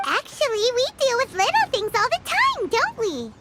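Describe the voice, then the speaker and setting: A woman voicing a tiny, cheeky, childlike fairy companion with a squeaky voice in a video game. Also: wildly swooping pitch, normal speed, very noisy, exaggerated voice. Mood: reassuring. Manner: cheeky, exclamatory. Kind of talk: video game character acting.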